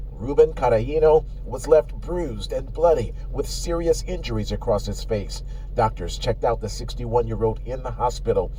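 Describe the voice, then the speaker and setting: Male news reporter, mid pitch, dark tone, scripted clip with faint hiss. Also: low-quality recording. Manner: measured, formal delivery.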